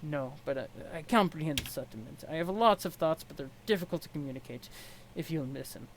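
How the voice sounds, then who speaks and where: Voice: mid-range voice, lively intonation, lightly acted. Someone acting out a snooty alien nobleman guy, stiff n wordy, easily flustered, fan dub w noise behind. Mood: troubled, confessional. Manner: stiff, pedantic, halting, over-polite diction. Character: formal, haughty, sweaty, easily rattled male alien aristocrat. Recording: clear background noise.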